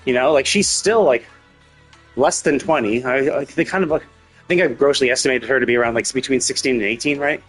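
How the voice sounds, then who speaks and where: A man's voice: medium pitch, a little fast, fairly bright tone, soft background music, low-quality recording. A man livestreaming casual commentary on a game's story, thinking aloud in a rambling, opinionated way. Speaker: live streamer.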